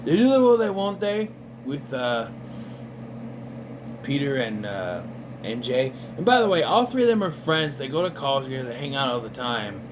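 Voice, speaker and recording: A man in casual commentary, reviewing an animated series in a rambling, sarcastic way with lots of fillers. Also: medium pitch, moderate pace, noisy background. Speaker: video reviewer.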